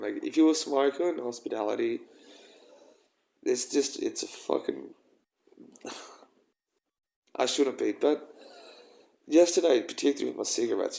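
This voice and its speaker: A young man with a croaky voice, rambling and halting through a casual personal vlog. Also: medium pitch, warm tone. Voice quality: croaky, gravelly. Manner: rambling, halting, with frequent ums and swearing. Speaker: vlogger.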